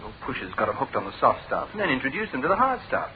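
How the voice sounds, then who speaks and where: An actor with a British accent playing a coolly menacing, sardonic private detective who gives clipped commands in a radio drama. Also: male voice, medium pitch, sing-song, fast, close to an everyday voice. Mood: stern accusation. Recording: thin phone-like old radio recording, crowd-like noise.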